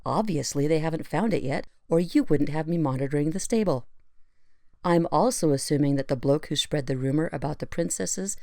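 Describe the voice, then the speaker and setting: A woman voicing a sharp-minded pony analyst, dry and probing, in conversational audio drama dialogue. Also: sits low, fairly quick, no echo. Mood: skeptical, probing.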